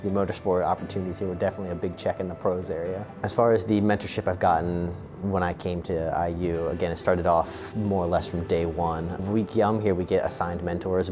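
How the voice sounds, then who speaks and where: A male medical resident describing his mentorship in a brisk, matter-of-fact interview. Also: low voice. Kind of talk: interview testimony. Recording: noisy ambience behind.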